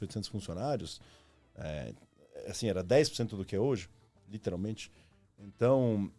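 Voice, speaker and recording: A man talks in a medium-pitched voice. He tells personal stories in an informal, slangy and reflective way, as a guest in a conversation, with faint music behind him. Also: warm tone. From Argentina. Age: 30s. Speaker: podcast guest, former marketing executive. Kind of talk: casual conversational storytelling.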